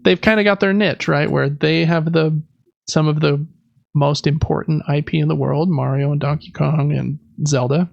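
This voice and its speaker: Guy hosting a podcast, chatty news rundown with little asides, gravelly fry, bit of a muffled recording. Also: fairly high pitch, steady tone, moderate pace, dry recording. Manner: conversational, explanatory asides. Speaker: podcast host. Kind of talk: casual gaming news recap.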